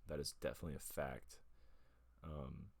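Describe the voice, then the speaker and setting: A man's voice: very deep voice, normal speed, gravelly. A man hosting his own online music show from home, chatting casually and rambling with lots of fillers. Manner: rambling, relaxed, with many fillers. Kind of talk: casual chat. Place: home room with a gaming chair. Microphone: laptop setup with a homemade camera mount.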